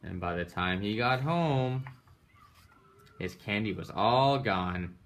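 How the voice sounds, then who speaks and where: A man's voice: fairly low pitch, expressive, slow pace, a bit bright. A man reading a children's picture book aloud in a dramatic way, with emphatic sound-effect words. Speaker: storybook reader. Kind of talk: animated children's read-aloud.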